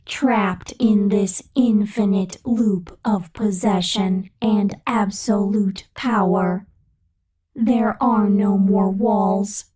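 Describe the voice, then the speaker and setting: A woman voices an all-powerful, possessive digital entity for ASMR roleplay. Her tone is dark and breathy, hushed and unhurried, as if lulling a favorite toy. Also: mid-range voice, fairly even intonation, poor audio. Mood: darkly triumphant.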